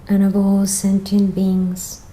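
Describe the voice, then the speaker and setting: A woman leading a guided meditation as the guide, speaking in a gentle, soothing manner with long pauses between phrases. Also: mid-range voice, completely flat delivery, poor audio.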